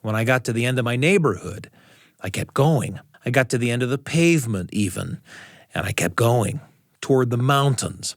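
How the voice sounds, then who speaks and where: A man's voice: medium pitch, lively intonation, moderate pace, no room sound. An American man hosting a storytelling radio show, speaking in a studio and narrating in a personal, conversational way.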